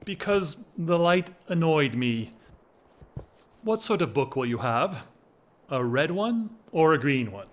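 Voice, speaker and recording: A man performs a restless, whimsical gentleman of title in an audio drama. His voice sits a little high for a man and moves very slowly through wordy, mock-formal phrases. The delivery is theatrical and teasing. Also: big pitch swings. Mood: wry, bantering. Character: whimsical, restless English gentleman, an aristocrat in love. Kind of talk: stage-style audio drama acting.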